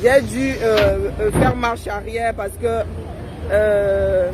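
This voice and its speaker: A man's voice: high-pitched, steady tone, slow pace. A man chatting casually to his viewers while live-streaming from an outdoor square at a rally. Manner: chatty, addresses viewers as family, laughs often. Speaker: livestreamer. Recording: busy street crowd noise. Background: crowd and street traffic.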